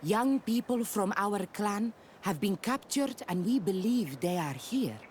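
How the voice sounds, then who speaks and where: A woman's voice: mid-range voice, animated, a little slow, darkish tone, slight background noise, close to an everyday voice. A woman playing a resolute native warrior in a video game, speaking earnestly and directly. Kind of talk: scripted video game acting.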